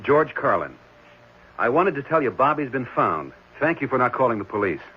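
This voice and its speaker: A man's voice: medium pitch, big pitch swings, normal speed, husky. A man playing a concerned, thoughtful and somewhat puzzled ordinary man in a 1970s radio drama, speaking conversationally. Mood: concerned, obliging. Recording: dull, slightly noisy 1970s radio recording.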